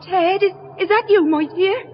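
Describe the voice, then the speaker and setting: Very shrill, frightened village wife. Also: female voice, normal speed. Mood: hushed dread. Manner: breathless, faltering, melodramatic. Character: frightened devoted village wife, fearful of a wolf creature. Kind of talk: 1970s radio horror drama acting. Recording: muffled, noisy vintage radio recording.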